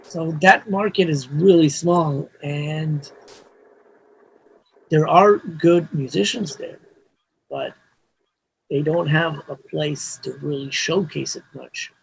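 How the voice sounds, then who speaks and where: A man's voice: on the high side, takes it slowly, creaky, long pauses, slight background noise, low-quality recording. A man, a Hebrew speaker, talking casually as an interview guest, rambling with restarts and frequent "you know" fillers. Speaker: mastering engineer guest.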